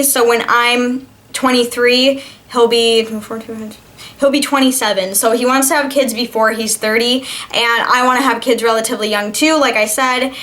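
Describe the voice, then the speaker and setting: A young woman of twenty speaks in a fairly high voice. She talks in an informal, chatty vlog style, as someone taking questions from her viewers would. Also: a bit bright. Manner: chatty, informal, reads questions aloud then answers. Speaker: vlogger answering viewer questions. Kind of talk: casual Q&A vlog chat.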